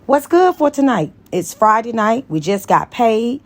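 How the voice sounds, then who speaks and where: A woman voicing a confident, fun-loving young female teacher in an audiobook, in a lively, assertive way. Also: a little high, unhurried, pitched above the performer's own voice. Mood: playful. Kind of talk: dramatized audiobook dialogue.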